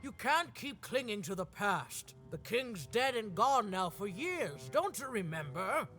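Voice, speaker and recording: A performer voicing a muddled, forgetful old guardian in a video game, halting and dramatic. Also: mid-range voice, big pitch swings, a bit bright, faint music behind. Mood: gentle, pitying. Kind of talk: video game character acting.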